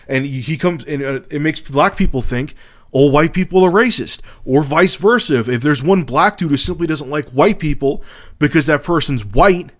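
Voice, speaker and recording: A man ranting on his own channel in a heated, profane way, often restarting his sentences. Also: a little high. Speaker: YouTuber. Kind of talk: opinion vlog rant.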